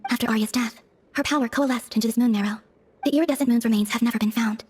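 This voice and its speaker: A woman playing a serene, enigmatic young moon goddess in a video game cutscene, speaking formally, softly and with detachment. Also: fairly high pitch, a little hiss or hum, natural voice. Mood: solemn. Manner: measured formal phrasing, soft, detached.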